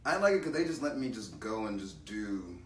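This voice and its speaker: A man talking casually as a guest about his acting and music, playful and bold, breaking into loud laughs. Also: lowish voice, very animated, fairly quick, recorded from a distance, slight background noise. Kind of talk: casual interview chat. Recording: roomy, off-mic with faint ambience.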